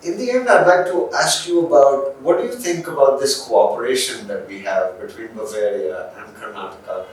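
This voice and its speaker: A man's voice: medium pitch, normal speed, few pauses. A man hosting a podcast interview, asking questions in a polite, articulate, conversational but formal way. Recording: very echoey room, distant mic, faint noise.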